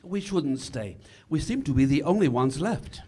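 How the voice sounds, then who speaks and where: Man acting a husky, dignified, guarded gentleman. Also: fairly high pitch, animated, moderate pace. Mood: reserved. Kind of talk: 1940s radio theater acting.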